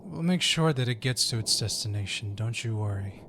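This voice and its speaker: A man playing a composed undercover agent posing as a job applicant in an audio roleplay, polite and measured. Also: fairly low pitch, expressive, a bit bright, a little hiss or hum.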